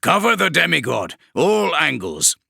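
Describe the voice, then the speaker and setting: A man's voice: a little high, very animated, unhurried, gravelly, pushed and bright, breathy edge, dry recording, clean studio sound, pitched above the performer's own voice, full character voice. A man voicing a harsh, commanding male warlord who snaps out curt orders in an audiobook reading. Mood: commanding angry.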